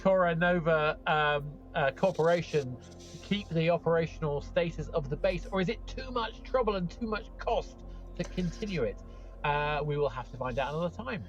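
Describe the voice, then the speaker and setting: A man with a notably high voice hosts a role-playing game. He improvises narration and chats casually, halting to find his words, with faint music behind him. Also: expressive, muffled recording. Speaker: game master, host.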